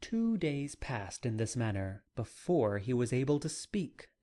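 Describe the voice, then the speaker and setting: Literary narrator: measured dramatic reading, very animated intonation, slow tempo. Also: medium pitch. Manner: measured, deliberate phrasing. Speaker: audiobook narrator.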